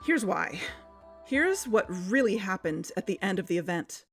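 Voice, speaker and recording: A woman's voice: mid-range voice, sing-song, moderate pace. Woman playing a determined podcast investigator, music behind. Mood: explanatory, assertive. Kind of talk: scripted investigative podcast narration and dialogue.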